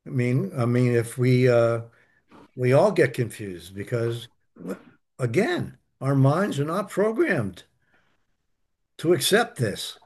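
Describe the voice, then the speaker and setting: Husky earnest male teacher. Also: mid-range voice, sing-song, takes it slowly, a little mellow. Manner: earnest, emphatic, repeats key phrases. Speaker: teacher of a study group. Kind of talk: answering questions in a spiritual study session.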